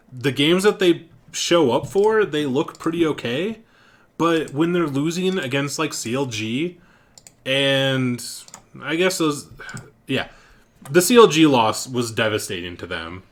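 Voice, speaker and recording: A man's voice: medium pitch, animated, a little slow. A man co-hosting a casual esports podcast, giving blunt, plain-spoken opinions. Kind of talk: casual esports analysis chat.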